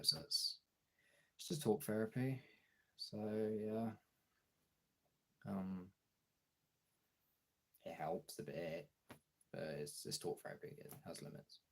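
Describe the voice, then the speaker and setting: A young man streamer talking casually and frankly in a gravelly, husky voice, halting at times and swearing freely. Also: low voice. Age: young adult. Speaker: streamer and voice actor chatting to followers. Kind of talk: casual candid chat.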